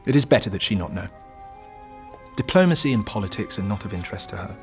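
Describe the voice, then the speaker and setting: A low-voiced French king, calculating and patient. He speaks in measured, condescending historical drama style over faint music. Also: lively intonation. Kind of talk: historical audio drama acting.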